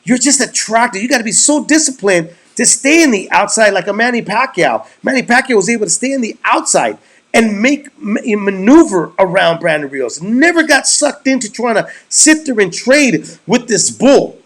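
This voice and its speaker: A man's voice: sits high, lively intonation, normal speed, fairly bright tone. A man giving casual, emphatic play-by-play commentary on a boxing match as a podcast co-host.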